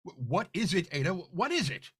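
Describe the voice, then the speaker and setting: A man's voice: sits high, big pitch swings, brisk, a bit bright, slightly rough, dry recording, studio quality, full character voice. A man playing an anxious, confused, stammering widower in an old-time radio horror play. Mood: worried. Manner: stammering, theatrical.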